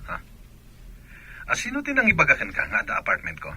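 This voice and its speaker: An actor playing a gruff, evasive, two-timing soldier husband in an Ilocano radio drama, defensive and insistent. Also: male voice, on the high side, wildly swooping pitch, fairly quick, bright, forceful tone, exaggerated voice.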